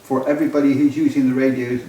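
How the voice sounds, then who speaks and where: Mellow-voiced man in an echoey room. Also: medium pitch, little pitch movement, fast. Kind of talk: informal practical explanation. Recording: echoey room, distant mic, faint noise.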